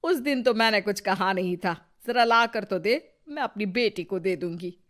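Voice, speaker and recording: A woman's voice: medium pitch, expressive, normal speed, a bit bright, lightly acted. A woman playing a bitter, suspicious older Indian mother-in-law who taunts and needles in a Hindi moral story. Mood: demanding. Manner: sarcastic, needling.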